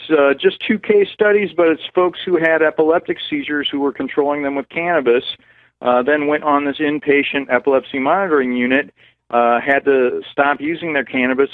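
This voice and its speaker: A man, a cannabis researcher, answering listener questions as a guest over the phone in a measured, careful way. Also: mid-range voice, no echo. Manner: measured, hedging, explanatory. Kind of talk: phone-in expert interview. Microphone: telephone. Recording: tinny phone line.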